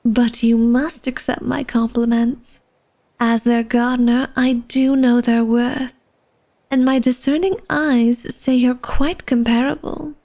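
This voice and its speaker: Slow, seductive vampire hostess played by a woman. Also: on the high side, steady tone, mellow. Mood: coy, flirtatious. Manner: formal, genteel, coy, teasing speech addressed to the listener. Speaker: ASMR roleplay voice actor. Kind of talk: ASMR roleplay acting, flirtatious.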